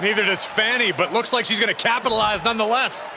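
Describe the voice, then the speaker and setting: A male wrestling commentator delivers dramatic calls in a very high, excited voice. A big crowd roars loudly around him in a noisy arena. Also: fast. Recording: loud arena crowd noise behind. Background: roaring crowd, ring slams.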